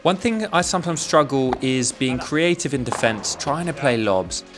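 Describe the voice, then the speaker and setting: A man's voice: medium pitch, lively intonation, normal speed. A young man narrating his sports vlog in an upbeat, matter-of-fact voice-over. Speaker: padel vlogger. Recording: music bed under voice-over.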